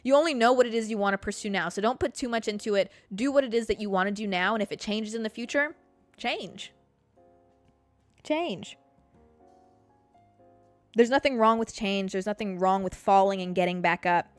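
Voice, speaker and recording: A woman's voice: mid-range voice, moderate pace, pauses often, no room sound, soft background music. A woman in her mid-twenties hosting a podcast, giving listeners a blunt, profanity-laced motivational pep talk and calling them babe and girl. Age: 20s. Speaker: podcast host. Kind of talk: casual motivational monologue.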